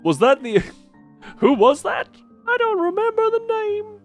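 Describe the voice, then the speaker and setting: A man's voice: very high voice, sing-song, unhurried. Rambling male game streamer over faint music. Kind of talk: casual live game commentary.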